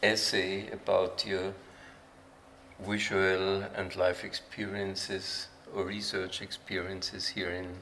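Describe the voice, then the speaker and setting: A man's voice: deep voice, level tone, slow pace, sharp tone. A man hosting a live talk event, introducing presenters in a hesitant way as he searches for words. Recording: noisy live event room.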